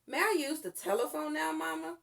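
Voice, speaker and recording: A woman voicing an eager, impatient little girl who pleads excitably in a children's storybook. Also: sits high, fairly quick, creaky, pitched above the performer's own voice, heavily acted. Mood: pleading. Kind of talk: children's storybook read-aloud.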